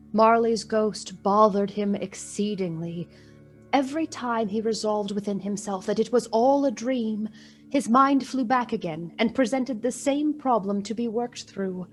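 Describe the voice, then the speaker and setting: A woman plays the narrator of a radio ghost story in a slightly high voice. Her telling is measured, dramatic and a little wry. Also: close to an everyday voice.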